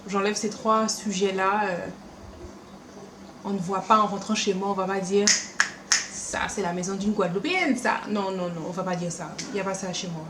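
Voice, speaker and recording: A young woman with a French Caribbean accent mixed with Paris French, chatting to camera at home in a lively, animated way. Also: medium pitch, echoey room, noisy background. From France, born in Paris, raised also in Martinique. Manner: chatty, animated, quick asides and acted-out quotes. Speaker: beauty vlogger. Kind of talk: casual chat to camera. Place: room at home.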